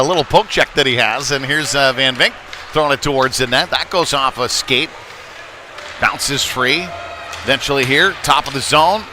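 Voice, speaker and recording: A man with a mid-pitched voice gives live hockey commentary over clear rink noise. His delivery is folksy and chatty, picking up energy as the play develops. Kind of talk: live sports commentary with casual asides. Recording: noisy live rink ambience.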